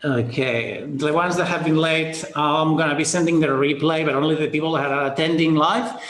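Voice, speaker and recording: A fairly high-voiced Spanish-accented man hosting a live online webinar over a computer mic, his voice ringing in a roomy, echoing space. He talks in a chatty, upbeat way, greets people by name and is full of fillers and quick restarts. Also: fairly even intonation, a bit bright. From Spain. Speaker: webinar host.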